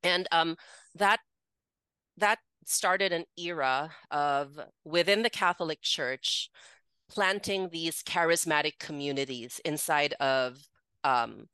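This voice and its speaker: Bright, clear-voiced woman in her forties. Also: low-pitched, slow, no echo. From Philippines. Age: 40s. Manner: candid, chatty, many "like" fillers, laughs easily. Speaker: podcast guest. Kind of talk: casual interview, telling her life story.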